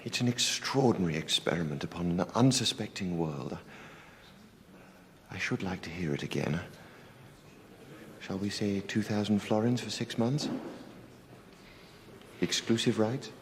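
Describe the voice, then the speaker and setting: A genial, courteous aristocrat in a period drama. His fairly low voice rises and falls in animated fashion, with slight hesitation, ringing in a reverberant hall. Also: a little slow, long pauses. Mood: genial, admiring. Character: genial aristocratic male music patron, mild and courteous. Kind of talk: television period drama acting.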